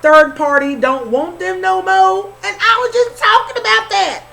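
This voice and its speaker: Southern lady doing a card reading, high voice, soft music under it, keeps saying honey. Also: normal speed, vocal fry. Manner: informal, repeats words, calls listener honey. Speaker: spiritual reader.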